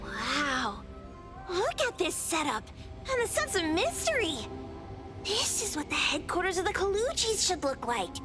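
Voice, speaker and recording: A woman voicing a cocky, excitable young girl boss in an anime-style video game, boastful and dramatic, with a playful manner. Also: very high voice, unhurried, full character voice. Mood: smug, playful. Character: cocky, excitable young girl who bosses her gang around. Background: game music.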